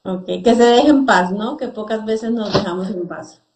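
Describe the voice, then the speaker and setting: A woman's voice: mid-range voice, moderate pace, a little mellow. A woman hosting an interview in a conversational style, speaking in Spanish. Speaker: interview host. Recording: echoey room sound.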